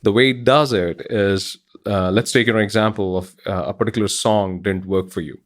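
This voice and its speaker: A middle-aged man with an Indian accent, a guest explaining his technical work in a measured way with many pauses. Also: on the low side, steady tone. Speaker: guest scientist.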